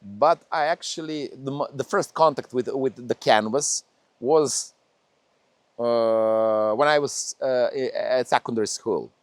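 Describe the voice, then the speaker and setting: A man from Serbia speaking English with a Serbian accent, a painter being interviewed, talking haltingly with many pauses and fillers. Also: medium pitch, dry recording. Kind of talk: interview conversation.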